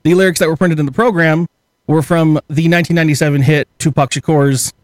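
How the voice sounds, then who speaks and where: A man's voice: a little high, fairly even intonation, quick pace, no echo. A man hosting a casual podcast, joking around and swearing in a playful way. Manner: jokey, profane, playful. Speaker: podcast host.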